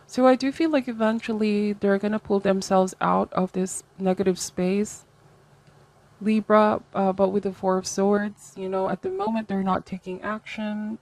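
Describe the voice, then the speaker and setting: Female tarot host, mid-pitched voice, conversational and reflective, offering advice straight to the viewer. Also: darkish tone. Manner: reflective, advisory, seeks agreement with tags like okay and right.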